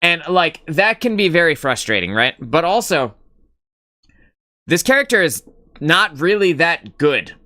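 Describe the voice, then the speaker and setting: A man's voice: fairly high pitch, big pitch swings, unhurried, bright, forceful tone. A young man hosting a casual live gaming stream, rambling and swearing casually as he greets chat.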